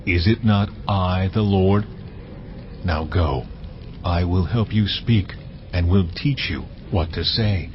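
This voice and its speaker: A man voicing God in a dramatized audio Bible, speaking slowly and solemnly. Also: low-pitched. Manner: slow, solemn, measured. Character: God, a deep commanding male voice from above. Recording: dull lo-fi audio with faint hiss.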